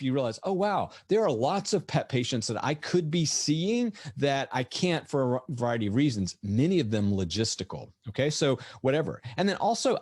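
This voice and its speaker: A middle-aged man with a slightly raspy, lecture-tired voice, giving an energetic webinar presentation full of rhetorical questions. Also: mid-range voice, no room sound, studio quality. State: voice tired from lecturing all morning. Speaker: veterinarian presenter.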